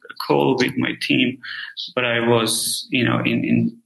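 A man with an Armenian accent explaining things casually as an interview guest, halting and repeating his words. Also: medium pitch, level tone, creaky, fairly bright tone, roomy sound, rough recording. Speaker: tech company co-founder and CEO, interview guest.

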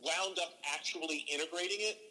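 Middle-aged male play-by-play man telling stories earnestly, voice a bit high. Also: a little fast, big-room sound, poor audio. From from New Jersey. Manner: earnest, animated, frequent restarts. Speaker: baseball play-by-play broadcaster, podcast guest. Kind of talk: relaxed interview storytelling.

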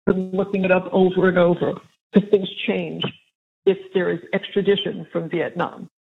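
A woman's voice: fairly low pitch, little pitch movement, normal speed, gravelly, slightly rough. A woman in a private conversation on a recorded phone call, heard over a narrow, tinny line.

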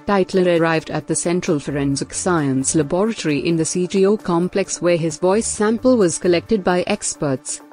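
A woman's voice: on the low side, darkish tone. A woman with an Indian accent reads a scripted news bulletin as its news reader.

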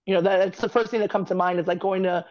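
A woman guest in a casual interview, talking candidly in a chatty, quick way. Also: mid-range voice, steady tone, a little mellow, dull audio. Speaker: podcast guest, former college basketball player.

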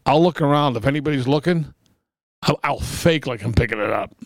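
A man's voice: mid-range voice, brisk, dry recording. A man co-hosting a podcast, joking around in crude, profane banter. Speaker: podcast co-host. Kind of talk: casual chat.

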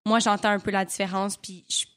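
A woman's voice: mid-range voice, level tone, fast, fairly bright tone. A young woman with a Québécois French accent, chatting casually as an interview guest and filling her talk with little hesitations. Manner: chatty, full of fillers like "comme" and "euh". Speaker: actress guest.

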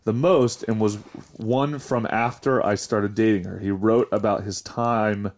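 Man, low-pitched voice, podcast host, casual conversational delivery, informal and loose. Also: steady tone, unhurried, darkish tone. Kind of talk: casual chat, reading listener confessions aloud.

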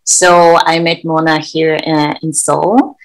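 A woman's voice: low-pitched, level tone, takes it slowly, rough recording. A woman from Senegal, a guest in a casual interview, telling stories in a chatty, eager way. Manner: chatty, enthusiastic storytelling. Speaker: guest, event co-founder. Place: lives in Korea.

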